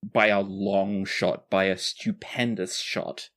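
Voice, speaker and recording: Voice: low-pitched, little pitch movement, slow, a bit bright. A game master narrating a tabletop roleplaying game in a casual way, with some swearing.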